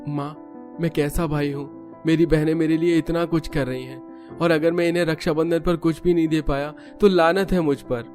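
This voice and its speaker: Caring, burdened young brother played by a male narrator, emotional cartoon drama, higher than natural voice. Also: fairly even intonation, moderate pace, darkish tone, soft background music, close to an everyday voice. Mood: anguished.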